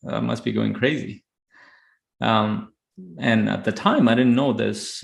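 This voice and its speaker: A man from Afghanistan telling a personal story reflectively as a guest, speaking haltingly with many filled pauses. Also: mid-range voice, creaky. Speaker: podcast guest, high-performance coach. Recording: roomy, echoey sound.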